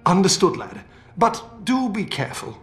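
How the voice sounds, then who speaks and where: Animated, fatherly older British scientist. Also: high voice, normal speed, exaggerated voice. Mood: resolute. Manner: expressive, fatherly, colourful. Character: avuncular older British scientist, captive yet feisty. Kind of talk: video game voice acting. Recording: roomy game audio with music.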